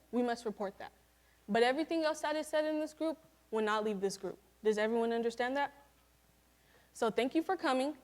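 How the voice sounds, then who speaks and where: Earnest eighteen-year-old girl presenter. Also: fairly high pitch. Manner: earnest, instructive, addressing a group. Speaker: student presenter playing a group facilitator. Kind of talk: student presentation with a scripted role-play.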